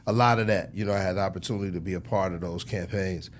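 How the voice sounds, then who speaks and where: A middle-aged American man telling his story casually as an interview guest, in slangy conversational speech with frequent throat clearing. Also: deep voice, fairly even intonation, fast, a little hiss or hum. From United States, Chicago. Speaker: interview guest, record executive.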